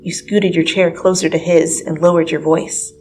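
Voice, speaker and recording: A woman's voice: lowish voice, level tone, moderate pace, darkish tone, low-quality recording. A woman reading a story aloud as scripted, dramatic second-person narration. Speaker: fan fiction reader. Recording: music under the voice.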